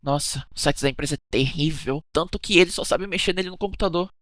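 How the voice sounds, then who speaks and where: A woman playing a touchy, evasive cruise hostess in an animated mystery, bickering and chatty, with a Brazilian accent. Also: fairly low pitch, fast, dry recording, studio quality, close to an everyday voice. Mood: casual, chatty. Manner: defensive, gossipy, bickering. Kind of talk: animated murder-mystery dialogue.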